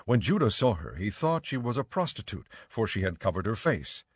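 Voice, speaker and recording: A man reading scripted scripture narration in a measured, solemn storytelling manner. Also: lowish voice, very animated, slightly rough, dry recording.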